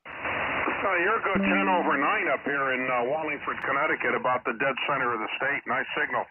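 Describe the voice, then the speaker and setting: A man's voice: medium pitch, fairly quick, a loud crowd. A man calling in over a two-way radio as an amateur operator, keeping the exchange terse. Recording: very noisy, narrow shortwave radio signal.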